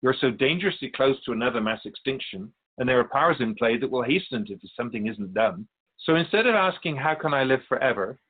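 Male actor as wry spirit guide, philosophical radio drama dialogue, medium pitch, rough-edged voice, thin telephone-like audio. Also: expressive, natural voice. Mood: earnest, probing. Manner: measured, philosophical, gently admonishing. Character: Keeper of Souls Purpose, wise, wry otherworldly spirit guide. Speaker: character answering an interviewer in a radio drama.

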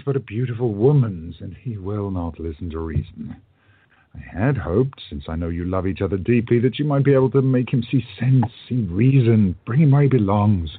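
A man playing a courteous, earnest gentleman shopkeeper in a radio play, gentle and measured in manner. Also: lowish voice, slightly rough, dry recording. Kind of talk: hobby radio play acting. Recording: dull lo-fi audio.

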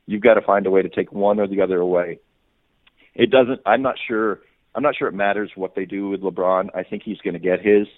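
A man's voice: low-pitched, fairly even intonation, fairly quick, no echo. A man giving off-the-cuff sports analysis as a guest reporter, often repeating words and restarting his sentences. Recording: muffled phone-like radio line.

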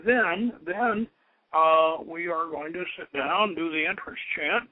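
A man leading a ritual as host, moving between informal instruction and solemn invocation in a halting, deliberate manner. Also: a little high. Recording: narrow tinny phone-like radio sound.

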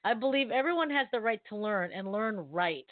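A woman's voice: on the high side, lively intonation, brisk, no echo. Chatty woman on a tinny line. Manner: chatty, laughs often, trails off in restarts. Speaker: co-host. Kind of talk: casual online talk show chat. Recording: thin phone-like audio.

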